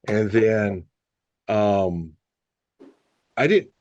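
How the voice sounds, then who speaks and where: A man who is a speech-language pathologist leading a casual group discussion, talking loosely with quick bursts and restarts. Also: on the low side, expressive, a little mellow, no room sound, lo-fi sound. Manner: conversational with many fillers and restarts, sometimes rapid.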